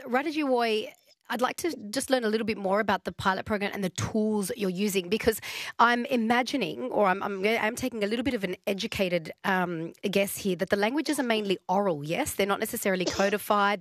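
A woman's voice: mid-range voice, brisk, no echo. A woman hosting a radio interview, asking long rambling questions in a chatty, encouraging way. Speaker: radio host.

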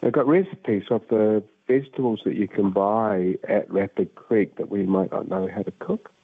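A man's voice: on the low side, moderate pace, slightly rough, no room sound. Hesitant Glaswegian man on a phone line. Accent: Scottish, Glasgow. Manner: hesitant, lots of ums and uhs. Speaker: caller.

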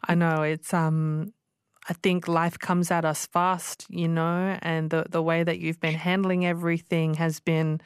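A woman's voice: deep voice, level tone, measured pace, smooth, dry recording. A woman hosting a casual radio interview in a studio, encouraging and chatty with many fillers. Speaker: radio show host.

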